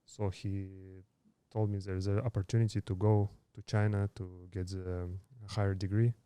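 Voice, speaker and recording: Guy in his 30s from kazakhstan, kinda low smooth voice, stops and restarts a lot, dry close mic. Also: little pitch movement, mellow, dry recording. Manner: halting, many fillers and restarts. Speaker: podcast guest. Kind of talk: casual interview storytelling.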